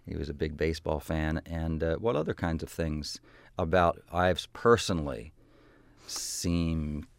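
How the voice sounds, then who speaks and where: A man's voice: a bass voice, measured pace, vocal fry, dry recording. A man hosting a radio interview, asking conversational questions with some hesitations. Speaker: radio host.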